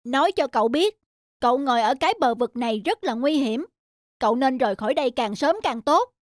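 A woman's voice: sits high, animated, fairly quick, no echo. A woman voicing a well-meaning cartoon crow who speaks in an earnest, urging way. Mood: warning. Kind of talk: children's cartoon dubbing.

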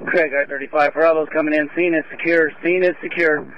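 Flat-voiced man reporting from the scene. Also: a little high, fast. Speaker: officer on scene. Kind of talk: field radio report. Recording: lo-fi radio with faint noise.

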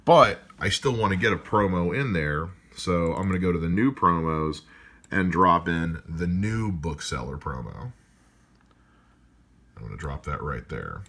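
A man with a loud voice calmly walking through how he edits a podcast episode, step by step. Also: low-pitched, measured pace. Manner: calm step-by-step explaining. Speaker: podcast editor. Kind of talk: explaining a screen-recorded editing walkthrough.

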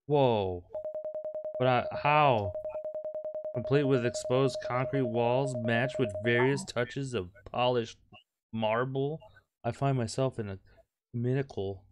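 A man's voice: medium pitch, takes it slowly, long pauses, over loud music, poor audio. A man doing let's play commentary, reading game text aloud with crude jokes and the odd stumble. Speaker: gameplay host.

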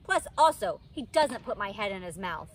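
A woman's voice: medium pitch, very animated, normal speed. A woman voicing an anxious, pestering little schoolgirl from a children's storybook, pleading and repeating herself insistently. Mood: nervous pestering. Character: anxious, pestering little schoolgirl narrating her own troubles. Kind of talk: children's storybook read-aloud, dramatized. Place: outdoors in a wicker pod chair under trees. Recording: outdoor ambient noise.

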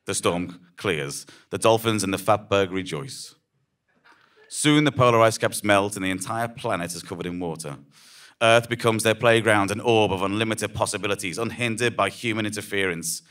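A man with a fairly low voice narrates a story on a small theatre stage. He delivers scripted, dramatic prose in a measured, deadpan way.

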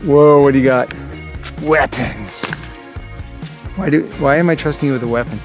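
A man's voice: mid-range voice, normal speed, faint music behind. A man in his forties narrating a casual family camping vlog outdoors, joking and playful, holding the camera at arm's length. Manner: jokey, playful. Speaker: vlogger dad. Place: outdoor wooded campsite. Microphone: handheld camera at arm's length.

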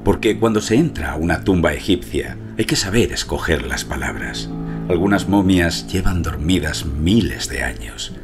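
A poetic archaeologist narrating his story, deep voice, really animated, music behind. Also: normal speed. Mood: reflective. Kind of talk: dramatic first-person scripted narration.

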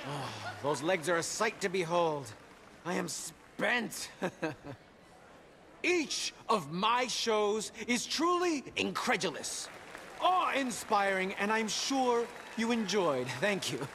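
An actor playing a flamboyant, grandiose master of ceremonies addressing a theater audience in a video game. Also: male voice, sits high, big pitch swings, slow pace, background noise, exaggerated voice. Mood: gushing. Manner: grandiose, effusive. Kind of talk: stage showman's address.

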